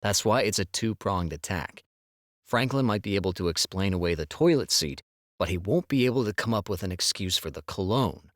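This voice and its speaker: A man playing an easygoing, sensitive husband in a con crew, speaking in a measured way in an audiobook drama. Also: deep voice, lively intonation, no echo, studio quality. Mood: scheming, matter-of-fact. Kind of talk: full-cast audiobook dialogue acting.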